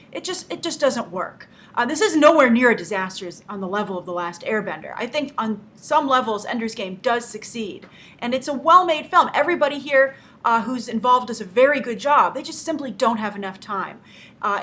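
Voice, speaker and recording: A woman's voice: on the high side, bright, forceful tone. A woman hosting a movie review show, giving her opinions with emphatic stress on key words.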